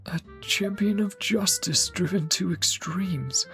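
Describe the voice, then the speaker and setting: A woman's voice: fairly low pitch, takes it slowly, a bit bright. A young adult woman streamer giving chatty reaction commentary, humming along and reading lines aloud. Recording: game music playing behind.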